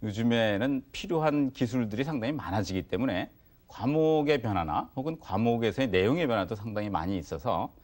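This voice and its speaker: A man's voice: fairly low pitch, darkish tone. A man giving explanatory answers as an expert in an interview.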